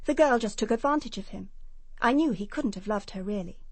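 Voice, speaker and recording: An English actress playing a prim, self-assured nurse giving precise testimony in a British radio crime drama. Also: female voice, medium pitch, animated, a little fast, slightly rough, dry recording. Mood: composed, defensive.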